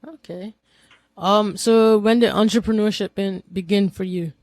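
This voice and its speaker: A man's voice: high-pitched, fairly even intonation, measured pace, dry recording. A man hosting a podcast, asking short questions and giving brief acknowledgments. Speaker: podcast host. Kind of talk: interview questions.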